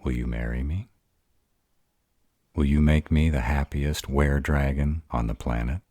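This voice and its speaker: A man voicing a bashful, awkward male were-dragon in love, halting and earnest, in a romantic roleplay audio. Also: a bass voice, fairly even intonation, mellow, no echo, studio quality. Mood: nervous, earnest.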